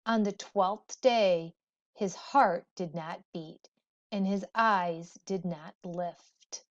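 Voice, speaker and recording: A woman reading a storybook aloud as a teacher would, expressively and deliberately, with dramatic pauses. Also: fairly low pitch. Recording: dull, muffled lo-fi audio.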